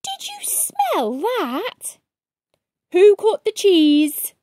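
Woman putting on a shrill, disgusted little animal. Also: huge pitch swings, extremely slow, mellow, no room sound, clean studio sound, heavily acted. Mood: grossed out. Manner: exclamatory, indignant, squealing. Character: squeamish little animal onlookers, shocked and disgusted.

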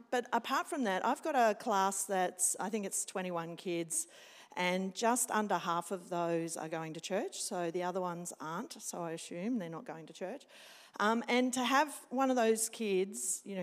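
A woman, a scripture teacher and school chaplain, chatting animatedly on stage and acting out classroom moments. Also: medium pitch. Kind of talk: casual interview on stage. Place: church sanctuary. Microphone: handheld mic. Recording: long church hall reverb.

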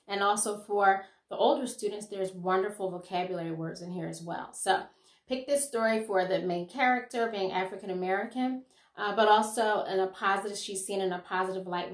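A middle-aged woman speaking as an educator who shares children's picture books, with deliberate, expressive delivery. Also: medium pitch. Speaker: educator reading a children's picture book aloud. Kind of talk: expressive read-aloud and book commentary for children and teachers. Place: small room at home, indoors. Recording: roomy indoor echo.

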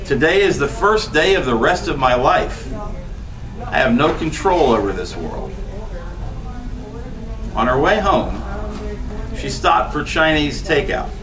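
A man's voice: on the high side, unhurried, pauses often, crowd noise. A man in his fifties giving a poetry reading with chatty, laughing asides in a small bookstore room. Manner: animated, gesturing, laughs between lines. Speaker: poet. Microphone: far camera, no mic. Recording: voice from a distance.

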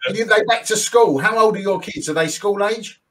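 A man's voice: high-pitched, normal speed, fairly bright tone, slight background noise. A man co-hosting a podcast on a video call, teasing in light banter. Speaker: podcast co-host. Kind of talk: casual chat.

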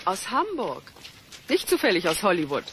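Sarcastic, lively-pitched strict schoolteacher woman. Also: moderate pace, slight background noise, poor audio. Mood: sarcastic curiosity. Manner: pointed, condescending.

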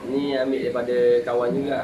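Malaysian man, mid-pitched, short plain answers, roomy echo, heavy crowd chatter behind. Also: flat delivery, quick pace. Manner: brief, matter-of-fact answers. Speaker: part-time betta fish breeder. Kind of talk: casual chat.

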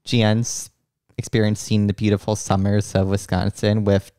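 A man's voice: on the low side, little pitch movement, normal speed, mellow, smooth, no echo, studio quality. A man chatting casually as a podcast host, informal and teasing. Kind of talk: casual chat.

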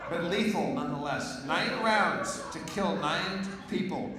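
A man's voice: on the high side, expressive, unhurried. A man doing live improvised comedy and announcing as a co-host on a theatre stage. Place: theatre stage before an audience. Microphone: handheld stage microphone. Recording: echoey hall, noisy crowd, distant.

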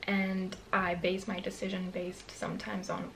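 A woman's voice: on the low side, level tone, measured pace, a little hiss or hum. A woman in her twenties with a Romanian accent, talking candidly to camera in a small bedroom, rambling with many fillers and restarts. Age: 20s. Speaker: vlogger. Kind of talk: casual talk to camera.

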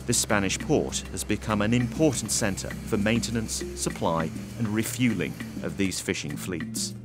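A man giving a scripted documentary narration in a measured, even voice. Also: lowish voice. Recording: voice-over with music bed. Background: music.

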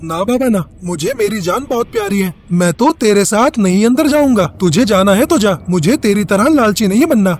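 Man voicing a high-pitched, earnest pigeon. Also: expressive, moderate pace, few pauses. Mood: wary. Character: cautious, earnest male pigeon villager. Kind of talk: animated moral tale acting.

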